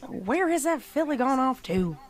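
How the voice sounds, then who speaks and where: A woman's voice: high-pitched, big pitch swings, moderate pace, faint music behind, voice pushed up. A woman voicing a busy female farm pony, a big sister, in a story reading. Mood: puzzled.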